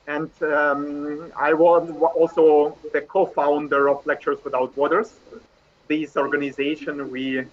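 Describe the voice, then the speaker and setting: Polite man on a video call. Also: a little high. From Russia. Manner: polite, halting, with fillers. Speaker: workshop presenter, math teacher. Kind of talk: online workshop talk. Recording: dull video call audio with faint noise.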